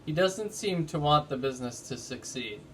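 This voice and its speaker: A curious girl from a storybook mystery, as a man reads her out. The voice is a bit high and questioning. There is light noise in the recording. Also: expressive, moderate pace, a bit bright. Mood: thoughtful. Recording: noticeable background noise.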